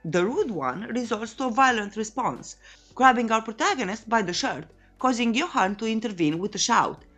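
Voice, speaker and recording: A woman's voice: fairly high pitch, lively intonation, normal speed, fairly bright tone. Woman narrating a recap over music. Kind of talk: scripted comic recap narration.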